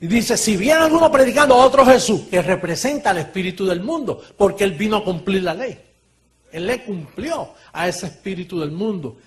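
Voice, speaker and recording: A man preaching a sermon in an animated way, swinging from quiet asides to shouting and laughing often as he talks. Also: sits high, normal speed.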